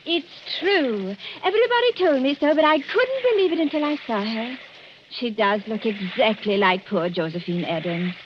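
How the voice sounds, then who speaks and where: An actress playing a flirtatious, gushing countess fascinated by wicked things, in 1940s radio style. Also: female voice, on the high side, lively intonation, normal speed, natural voice. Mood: gushing, coy. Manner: gushing, theatrical. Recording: muffled, noisy old radio recording.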